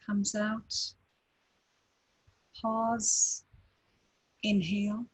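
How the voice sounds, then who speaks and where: A woman guiding a breathing meditation, speaking calmly with long pauses between short phrases. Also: medium pitch, husky, rough recording. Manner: slow, calm, with long pauses. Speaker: meditation teacher.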